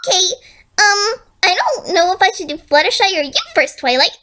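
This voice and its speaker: A woman's voice: very high voice, animated, moderate pace, bright, forceful tone, pitched above the performer's own voice, heavily acted. A young-sounding woman voicing a manic, cheerfully sinister pink pony villain in a storybook reading, chatting with menacing casualness. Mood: giddy, confiding. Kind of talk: amateur storybook fan fiction reading.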